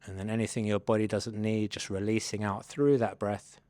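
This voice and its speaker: A man leading a guided body scan meditation, giving gentle, unhurried invitations as a mindfulness instructor. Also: on the low side, flat delivery, no room sound.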